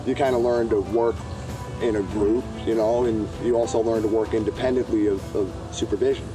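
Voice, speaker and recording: A man's voice: lowish voice, fairly even intonation, fairly quick, music in the background, poor audio. A man from Ohio, a job training participant, talking in an easygoing, talkative way in a documentary interview.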